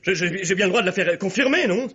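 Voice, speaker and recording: A nervous, thin-skinned suspect in a French radio mystery, talking fast and tripping over repeated words. His husky, highish voice is heard on a dull recording. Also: lively intonation, pushed and bright, full character voice. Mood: indignant, flustered. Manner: jittery, stammering repetitions, irritable. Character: nervous, touchy, hypersensitive male murder suspect.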